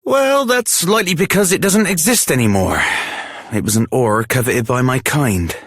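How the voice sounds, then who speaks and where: Animated, husky veteran armour smith. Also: male voice, mid-range voice, normal speed, no echo, clean studio sound. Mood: wistful, friendly. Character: kindly, excitable veteran armour smith, old comrade of a hero. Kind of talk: scripted video game voice acting.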